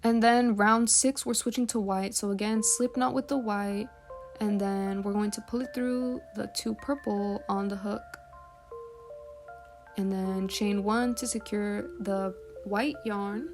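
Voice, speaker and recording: A woman's voice: mid-range voice, steady tone, unhurried. A woman narrating a step-by-step craft tutorial, often counting aloud, as its host. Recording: soft music bed under voice. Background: background music.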